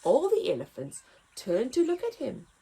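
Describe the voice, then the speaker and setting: A woman reading a children's story aloud as a story time host, with expressive, dramatic emphasis. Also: a little high, moderate pace, creaky, husky, poor audio.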